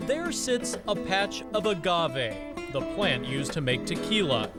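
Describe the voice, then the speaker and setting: A male correspondent reading a scripted news feature in a measured storytelling manner. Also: a little high, very animated, loud music behind the voice.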